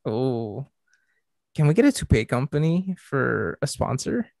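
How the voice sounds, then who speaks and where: A young man co-hosting a podcast, teasing his friend with quick comebacks in casual chat. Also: mid-range voice, sing-song, creaky, darkish tone, no echo. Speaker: podcast co-host.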